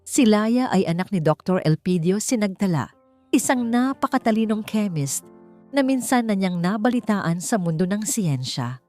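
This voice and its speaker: A woman's voice: mid-range voice, expressive, moderate pace, warm tone, dry recording. A woman with a Filipino accent reading a story as dramatic scripted audiobook narration. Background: background music.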